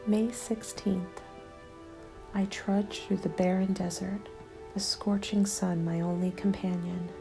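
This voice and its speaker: A woman reads a scripted ASMR roleplay in a soft, breathy whisper with a creaky edge. Her delivery is hushed and very even, unfolding slowly and deliberately. Music plays clearly behind her. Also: medium pitch. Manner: slow, hushed, deliberate storytelling. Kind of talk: ASMR scripted first-person story narration. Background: instrumental music.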